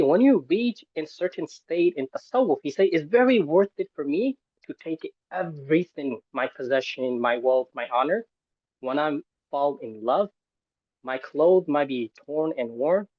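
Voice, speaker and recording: A man's voice: mid-range voice, dry recording, lo-fi sound. A man taking part in a discussion, making informal personal remarks in non-native English with simplified grammar. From the East. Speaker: discussion participant.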